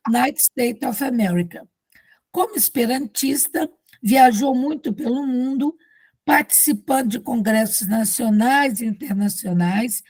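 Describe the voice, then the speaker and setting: A Brazilian woman reads out a guest's long list of credits at a literature course, pausing for small slips and warm asides. Her voice sits a little high but sounds mellow and a touch husky. The sound is close and dry. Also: no room sound. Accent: Brazilian Portuguese. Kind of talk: reading a formal introduction with asides.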